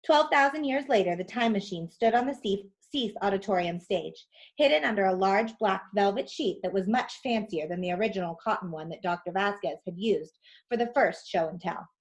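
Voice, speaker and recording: A woman narrating a children's storybook aloud in an expressive style. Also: medium pitch, moderate pace, rough recording.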